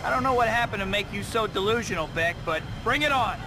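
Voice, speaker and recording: Boyish wisecracking young hero, higher-pitched. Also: male voice, animated, quick pace, over music. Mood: defiant quips. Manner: quippy, boyish. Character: wisecracking young male superhero, earnest and flustered. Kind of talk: video game voice acting.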